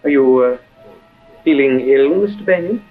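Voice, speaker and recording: An actor playing a stiff, jealous, sarcastic British army captain in an old-time radio adventure, clipped and haughty. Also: male voice, medium pitch, lively intonation, normal speed, faint music behind, close to an everyday voice. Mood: stiff, suspicious. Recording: tinny, band-limited old radio recording.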